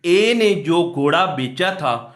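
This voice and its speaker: A man's voice: fairly high pitch, expressive, slow, a bit bright. A man with a Pakistani accent giving a lecture, reading out formal text and explaining it step by step with repeated phrases. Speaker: law lecturer. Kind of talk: exam-focused lecture, reading statutes aloud and explaining with examples. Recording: echoey room.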